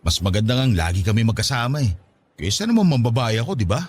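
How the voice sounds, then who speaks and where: Animated, easygoing husband in his thirties. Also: on the low side, fast talker, slightly rough. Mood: coaxing, defensive. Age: 30s. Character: easygoing, playful 35-year-old husband, defensive with his wife. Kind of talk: Tagalog radio drama acting.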